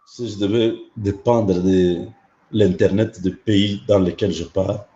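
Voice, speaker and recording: A hoarse man speaks slowly and deliberately in a lowish voice. He hesitates often and fills gaps with fillers. The sound is dull and lo-fi. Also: steady tone, dark tone, slight background noise. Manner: slow, halting delivery with fillers. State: hoarse from a cold. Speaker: online channel host. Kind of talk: casual livestream commentary.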